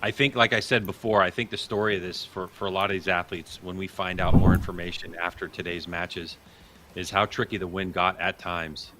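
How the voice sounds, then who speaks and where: A man's voice: sits low, little pitch movement, fairly quick, sharp tone. A man hosting a live sports watch party, commentating on the action as it happens. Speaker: host and commentator. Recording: noticeable background noise.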